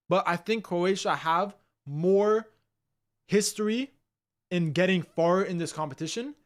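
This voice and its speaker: Young man, from Canada, live-stream host, casual slangy chat, energetic, slightly high voice. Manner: energetic, repetitive, slangy, addresses viewers as "guys" and "bro". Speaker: livestream host.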